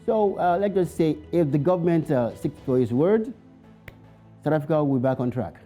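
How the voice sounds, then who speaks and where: A man's voice: on the high side, lively intonation, moderate pace, warm tone, soft background music. A man speaking as a business editor, answering questions with frequent fillers. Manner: hesitant with fillers. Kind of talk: studio interview answers.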